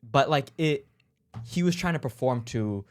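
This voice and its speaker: A man's voice: mid-range voice, expressive, a little slow. A young man co-hosting a casual chat, asking questions and thinking aloud. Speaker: podcast co-host.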